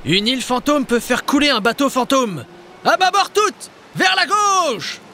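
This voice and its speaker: A man's voice: extremely high pitch, big pitch swings, measured pace, pushed and bright, slight background noise, exaggerated voice. A man voicing an earnest, commanding adult ghost ship captain in a cartoon dub. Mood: urgent. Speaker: dubbing actor.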